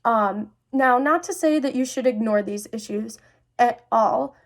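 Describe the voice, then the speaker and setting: A woman's voice: on the high side, measured pace. A young adult woman speaking earnestly and confidingly, as a host sharing personal experiences. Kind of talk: personal talk to camera about mental health.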